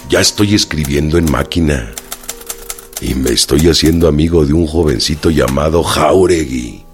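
An actor with a Mexican accent playing a proud, loyal, imprisoned revolutionary in a radio drama, speaking in a slow, plain-spoken way. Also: male voice, extremely low pitch. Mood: confiding. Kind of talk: radio drama, letters read aloud. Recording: faint music behind.

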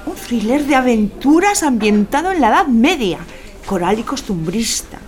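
An actress playing a flustered, eager woman screenwriter in radio theatre, with a nervous, halting delivery. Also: fairly high pitch, sing-song. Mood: excited, nervous. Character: flustered, overexcited woman head screenwriter brimming with ideas.

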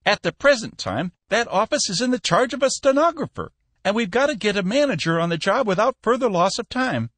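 A man reading an audiobook, voicing a shrewd, meddling old shipping boss in a high, purring, amiably sly voice. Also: very animated, fairly quick, fairly bright tone, no room sound, full character voice. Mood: sly amiable.

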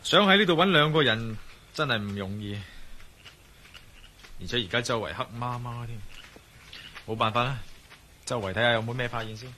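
Resolute ex-soldier adventurer, male actor, deliberate radio monologue, mid-range pitch, noisy ambience. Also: sharp tone, natural voice. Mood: searching, watchful. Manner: deliberate, thinking aloud. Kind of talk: radio drama dialogue and spoken-thought monologue.

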